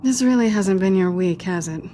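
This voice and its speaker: A woman playing a sly, guarded young cat burglar with dry wit in a video game, teasing and sardonic in an intimate, low-key way. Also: normal speed, warm tone, slight background noise, close to an everyday voice. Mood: wry, playful. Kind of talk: naturalistic video game acting.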